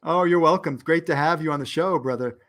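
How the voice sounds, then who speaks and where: Man, New York accent, podcast host, upbeat, fairly high voice. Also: a little fast, fairly bright tone. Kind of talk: podcast interview.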